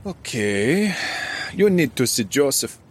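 A man's voice: mid-range voice, sing-song, takes it slowly, breathy edge, exaggerated voice. An actor playing a wary, fearful armed man with a foreign accent and a terse manner in an audio drama. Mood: guarded. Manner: terse, short questions and commands.

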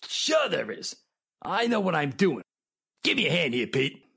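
A man playing a rough, dim crook in a 1940s style radio drama, with slangy, ungrammatical street talk. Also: on the high side, big pitch swings, a little slow, a bit bright, exaggerated voice. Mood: pushy, cajoling.

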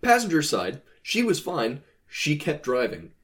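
A man's voice: a little high, wildly swooping pitch, measured pace, fairly bright tone. A man chatting casually as a podcast co-host, with a wry, joking way of speculating.

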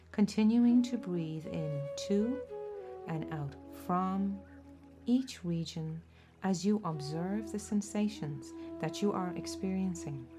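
Low-pitched woman guiding meditation over music. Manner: gentle, measured instruction with deliberate pauses. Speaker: guided meditation host. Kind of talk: guided body scan meditation.

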